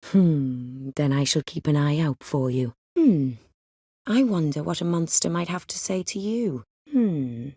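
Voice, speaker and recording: An ancient, high-born vampire lady from a fantasy game. Her voice sits a little low and is recorded very dry and close. She speaks with cool, refined poise and a worldly, faintly condescending wryness. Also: lively intonation, a little slow, warm tone, breathy edge, no echo, full character voice. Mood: cool, wry. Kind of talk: video game dialogue acting.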